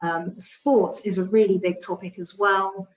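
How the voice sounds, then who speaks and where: A woman's voice: medium pitch, steady tone, measured pace. A woman giving an online slide presentation in a hesitant, informal way over lo-fi call audio.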